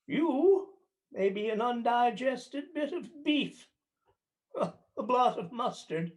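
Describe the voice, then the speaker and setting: A performer playing a crotchety, skeptical old male miser in a radio play, speaking haltingly and theatrically. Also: mid-range voice. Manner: halting, theatrical, dismissive turning to pleading.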